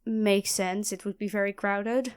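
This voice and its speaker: A woman playing a nerdy, chatty young student in an audio roleplay, expressive and flustered, often apologizing and correcting herself. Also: medium pitch, unhurried, clear, resonant tone, lightly acted. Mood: curious, awkward. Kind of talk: audio roleplay acting addressed to the listener.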